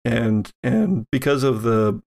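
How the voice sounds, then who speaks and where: A man's voice: medium pitch, animated, takes it slowly, vocal fry, a little mellow. A man narrating an improvised tabletop game as game master, explaining things conversationally.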